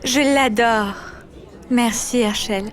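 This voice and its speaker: A woman's voice: fairly high pitch, slow. A woman voicing an affectionate, teasing young woman in a video game. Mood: tender. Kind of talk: video game cutscene voice acting.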